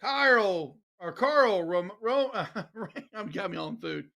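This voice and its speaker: A man's voice: high voice, animated, slow pace, vocal fry, sharp tone. A man in his fifties calling a darts match live, stumbling over player names and laughing at himself. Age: 50s. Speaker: darts commentator.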